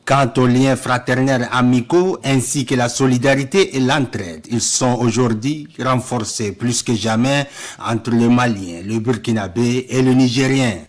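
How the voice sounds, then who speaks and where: Male news reporter, formal scripted voice-over, mid-pitched, measured and solemn. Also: fairly even intonation.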